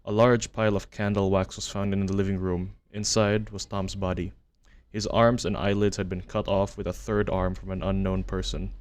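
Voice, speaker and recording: A man narrating a horror commentary as a video essayist, measured and matter-of-fact, reading from a script. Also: low voice, flat delivery. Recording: music under the voice-over. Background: background music.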